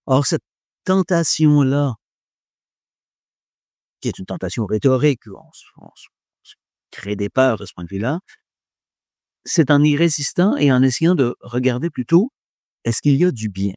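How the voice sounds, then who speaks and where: A man's voice: mid-range voice, animated, moderate pace, long pauses, darkish tone, no room sound, studio quality. A man gives a reflective talk on faith and philosophy to an audience, hesitating often and joking about himself. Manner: hesitant, many fillers, self-deprecating humour.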